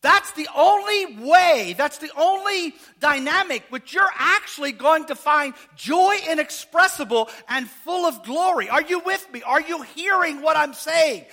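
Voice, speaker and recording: High-voiced pastor, animated. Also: male voice, a little slow, few pauses. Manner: animated, rising to shouts, asks the congregation questions; casual, joking. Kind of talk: sermon.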